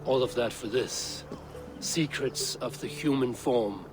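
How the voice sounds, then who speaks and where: A man's voice: medium pitch, slow pace, over music, lightly acted. A man playing a stern, principled Viking warrior in a video game, speaking in a grave, terse and resolute manner. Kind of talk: dramatic video game acting.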